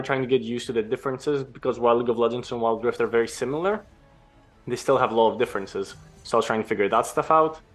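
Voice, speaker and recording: A young man from Greece, a former pro gamer, answering interview questions in a relaxed, explanatory way. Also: medium pitch. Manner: relaxed, explanatory, some restarts.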